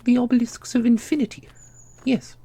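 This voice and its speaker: A woman's voice: a little high, flat delivery, normal speed, mellow, husky. A woman playing an ancient, secretive divine custodian in a fantasy audio roleplay, knowing, theatrical and condescending. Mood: solemn, secretive.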